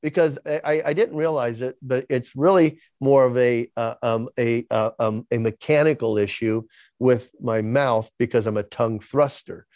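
A man's voice: mid-range voice, moderate pace, darkish tone, no room sound, lo-fi sound. A man in his sixties with a lisp, candidly telling his life story as an interview guest, often repeating words as he starts a sentence. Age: 60s. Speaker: podcast guest.

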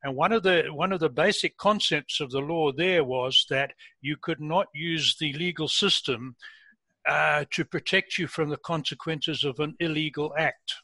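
An older man co-hosts a podcast in his Southern African accent. His voice is bright and a little high for a man, recorded dry and close. He explains his point at a measured pace before he asks the guest to comment. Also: no room sound. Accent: Southern African English. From Rhodesia and South Africa. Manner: measured, explanatory, repeats words when starting. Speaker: podcast co-host. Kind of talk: conversational interview.

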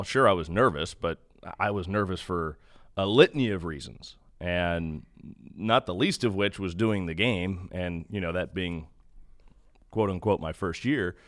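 A man with a deep voice talks as a guest in a relaxed interview. He is a radio announcer, speaking in an earnest, reflective, conversational way. The recording is very dry. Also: dry recording. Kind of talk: casual interview chat.

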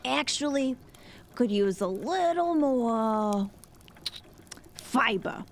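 Grumpy, creaky, sarcastic woman. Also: a little high, expressive, extremely slow, a little hiss or hum, heavily acted. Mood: exasperated. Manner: dry, exasperated. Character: grumpy, impatient, sarcastic woman, weary old hand. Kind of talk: audio drama acting.

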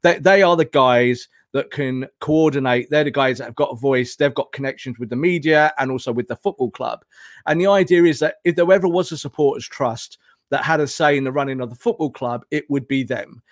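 A man's voice: medium pitch, brisk, dry recording, studio quality. A man talking as a football pundit in an impassioned, opinionated discussion, emphatic and full of rhetorical questions and repetition.

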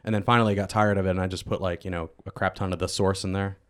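A man with a fairly low voice chats as part of a podcast cast. He tells stories loosely and informally, in a jokey manner that sounds amused by himself. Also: flat delivery, racing, smooth. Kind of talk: casual joking chat.

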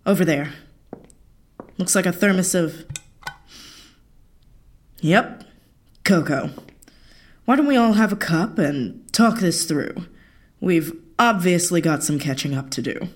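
Audio drama role: sardonic American female agent, commanding, low husky voice with lively swings. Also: takes it slowly, long pauses. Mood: conciliatory. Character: tough, mocking female American CIA agent.

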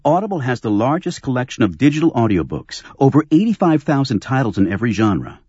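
A man's voice: mid-range voice, sing-song, fast talker, darkish tone, husky, dry recording. A man announcing a scripted radio advertisement. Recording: dry, slightly dull radio stream.

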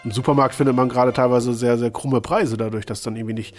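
Podcast guest guy, normal pitch, casual musing and explaining, little bit of music underneath. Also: steady tone, quick pace.

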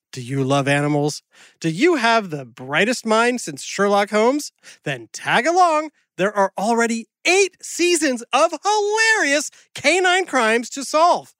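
A woman's voice: a little high, fairly bright tone, no room sound, studio quality. A woman doing upbeat, peppy scripted narration and promo reads as an announcer.

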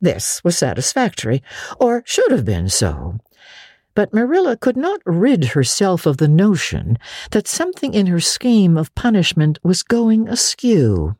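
A woman's voice: on the low side, huge pitch swings, unhurried, a little mellow, no room sound, studio quality. A woman narrating classic fiction as a dramatic audiobook reading.

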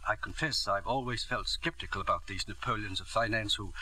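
An actor playing a proud, principled headmaster in a 1940s radio drama, speaking in a formal, stiff manner. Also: male voice, lowish voice, brisk, pushed and bright, dry recording, rough recording. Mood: stiff, pleading. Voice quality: formal and stiff, growing distraught. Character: proud, principled school headmaster, middle-aged man. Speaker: headmaster character.

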